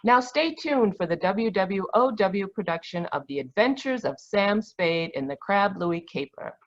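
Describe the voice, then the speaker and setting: A woman's voice: mid-range voice, animated, a little slow. A woman reading scripted radio show credits as announcer, heard over lo-fi online call audio.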